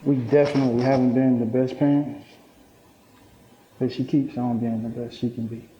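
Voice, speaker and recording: A man's voice: medium pitch, little pitch movement, moderate pace, close to an everyday voice. An actor in a stage play, playing an earnest middle-aged father and hard drinker who is resolving to change. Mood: earnest, hopeful. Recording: muffled roomy stage recording, faint noise.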